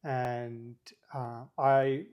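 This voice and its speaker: Young adult male guest from Sri Lanka, loose and conversational, mid-range pitch. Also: extremely slow, smooth, muffled recording. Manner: relaxed, many fillers like "like" and "um". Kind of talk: casual interview chat.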